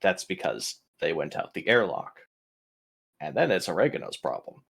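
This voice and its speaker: A man's voice: deep voice, very animated, moderate pace, gravelly, a bit bright, husky. A man casually recapping a tabletop role-playing session as one of the players. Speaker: player in an online tabletop RPG.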